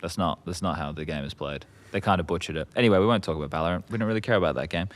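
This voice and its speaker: A man hosting a casual esports roundtable, asking players quick, teasing questions. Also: sits low. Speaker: host.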